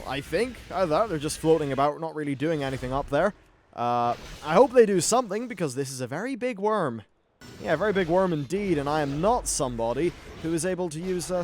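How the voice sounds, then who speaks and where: A young man giving a chatty, informal, mildly sweary commentary as he plays through a video game. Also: fairly high pitch. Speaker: gaming YouTuber. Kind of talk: casual game playthrough commentary. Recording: clear background noise, game ambience.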